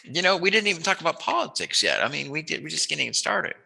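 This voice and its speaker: A man's voice: on the high side, very animated, quick pace, gravelly, bright, forceful tone, breathy edge. A man hosting a casual chat interview, talking in a playful, jokey, musing way. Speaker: interviewer, host.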